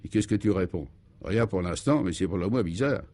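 A man's voice: sits low, lively intonation, fairly quick, dark tone. A man playing a puzzled, wary amateur sleuth in a comic radio serial, nosy and colloquial in his speech. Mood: murmured, puzzled.

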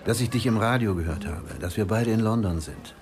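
A man's voice: lowish voice, brisk, natural voice. A man playing a big, good-natured, overeager professor in a radio play, persuasive and insistent. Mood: pleading, insistent. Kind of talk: German radio play dialogue. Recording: music playing behind the voice. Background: music.

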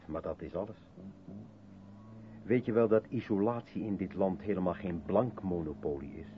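A tinny old radio drama recording with faint noise carries a guarded, withdrawn man. He broods and questions himself, hesitant and full of self-doubt, in the manner of seventies radio acting. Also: sits low. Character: withdrawn, self-doubting mixed-race South African man, guarded intellectual. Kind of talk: 1970s Dutch radio play acting.

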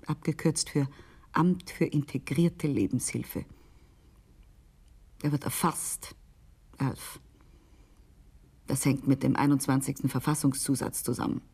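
A disillusioned gov insider woman in a radio play, deep mellow voice, careful and confiding. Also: slightly rough, heavily acted. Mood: bitter, then cautioning, confiding. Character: grave, disillusioned female government insider warning a friend.

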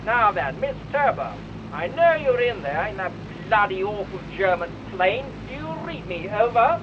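An actor playing a chatty, upper-class British RAF squadron leader over the radio, with a British accent. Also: male voice, high voice, sing-song, dull audio, background noise. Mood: breezy. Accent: British English. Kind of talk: radio drama acting.

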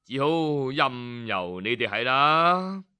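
A man voicing an old male hermit sage who gives slow, grave counsel with heavy sighs. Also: medium pitch, sing-song, bright, forceful tone, clean tone, voice pushed down, exaggerated voice. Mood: grave, sorrowful.

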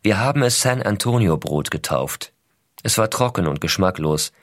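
A man playing an American astronaut father, reading letters to his small son in a measured, reflective way. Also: low voice, lively intonation, no echo, studio quality. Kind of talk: scripted reading of personal letters.

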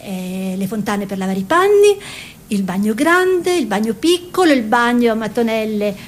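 A woman's voice: very animated, normal speed. An actress playing a spirited, plain-spoken peasant-born woman painter in a conversational, dreamy piece of theatrical radio storytelling. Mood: reminiscing. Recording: noisy with low rumble.

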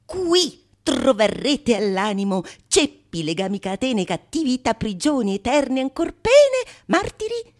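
A woman actor performing a theatrical monologue in a declamatory manner, with dramatic pauses and relish for long lists of words. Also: fairly high pitch, big pitch swings. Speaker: solo stage actress. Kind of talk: theatrical monologue of a Renaissance comedy.

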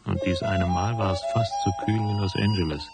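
An actor playing a cynical, world-weary private detective in a German radio drama, with a terse, dry, gravelly delivery. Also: male voice, low voice, fairly even intonation, brisk, loud music behind the voice. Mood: dry narration. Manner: terse, dry, matter-of-fact. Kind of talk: German radio drama acting and first-person narration.

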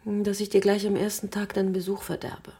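An actress playing a sensitive, defensive young granddaughter in a radio drama, at times soft and breathy. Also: female voice, mid-range voice, level tone, brisk, natural voice. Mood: apologetic, frustrated.

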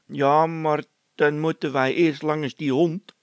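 A man's voice: on the high side, little pitch movement, slow, dry recording, pitched above the performer's own voice. A man voicing a sheepish, anxious workman with a timid, plaintive way of talking in a read-aloud comic story. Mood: worried.

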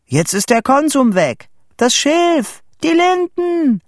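A man voicing an excited boy who shouts a dramatic eyewitness report in short, exclaimed bursts, as audiobook dialogue. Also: very high voice, slow pace, no room sound, clean studio sound, pitched above the performer's own voice, full character voice. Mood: wild, exultant.

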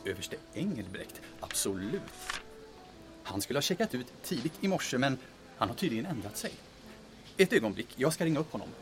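A man's voice: medium pitch, sing-song, music in the background, close to an everyday voice. A man playing a polite, discreet hotel receptionist in an audio drama, formal and chatty with guests. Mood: courteous, discreet. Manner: formal, courteous.

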